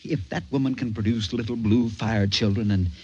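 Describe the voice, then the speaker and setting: A man's voice: fairly low pitch, steady tone, normal speed, dark tone, breathy edge, muffled recording. An actor playing an exasperated, commanding rocket captain who insists on his sanity, in old-time radio drama style. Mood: grave, reasoning. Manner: commanding, indignant, emphatic.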